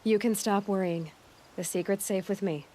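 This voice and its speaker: An actress voicing a headstrong young outcast huntress in a video game, direct, questioning and determined. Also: female voice, medium pitch, normal speed, dark tone, slight background noise, lightly acted. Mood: curious, probing. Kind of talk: video game dialogue acting.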